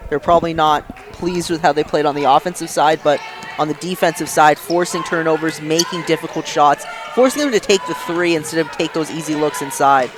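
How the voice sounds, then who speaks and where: A man giving color commentary on a basketball game, analysing plays with quick restarts. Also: a little high.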